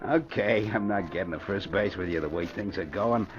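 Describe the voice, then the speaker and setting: An actor playing a boastful, slangy tough racketeer in an old-time radio crime drama, on a muffled recording with music behind. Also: male voice, deep voice, moderate pace, close to an everyday voice. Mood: indignant. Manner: brash, slangy tough-guy talk.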